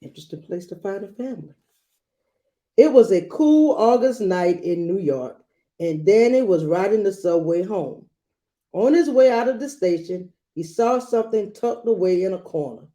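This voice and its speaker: Mellow woman reading a picture book aloud. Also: mid-range voice, expressive, normal speed. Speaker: read-aloud storyteller. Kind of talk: children's picture-book read-aloud with asides.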